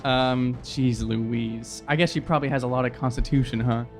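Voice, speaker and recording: Player: man, medium-pitched, off-the-cuff and jokey table talk, light music behind him. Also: steady tone, moderate pace. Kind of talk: casual game banter.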